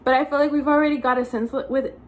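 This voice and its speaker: A young woman with a slightly raspy voice, chatting casually as the host of a vlog. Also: high-pitched, steady tone, racing. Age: young adult. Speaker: host, vlogger. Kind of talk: casual chat.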